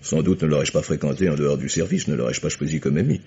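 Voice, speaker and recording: An actor playing a proud, guarded chief engineer in a radio mystery, speaking formally and in measured, clipped statements. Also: male voice, rumbling low, gravelly, warm tone, breathy edge, heavily acted. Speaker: actor in a radio crime drama. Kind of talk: French radio mystery acting. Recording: muffled old radio recording.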